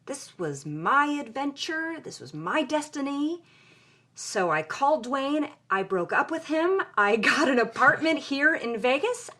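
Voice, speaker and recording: A woman's voice: sits high, unhurried, exaggerated voice. Candid, headstrong young woman. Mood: indignant, exhilarated. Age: young adult. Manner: candid, expressive. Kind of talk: audio drama acted as podcast conversation.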